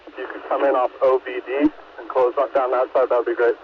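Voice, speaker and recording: A man's voice: mid-range voice, flat delivery, quick pace, narrow, tinny audio. A man working as a police officer, speaking in clipped, businesslike radio traffic over a scanner.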